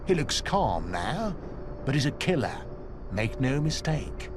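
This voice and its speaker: An actor playing a cynical, resigned prisoner in a video game, wry and matter-of-fact. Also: male voice, mid-range voice, very animated, unhurried, background noise. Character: cynical, resigned seasoned male prisoner in a cage. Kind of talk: dramatic video game acting.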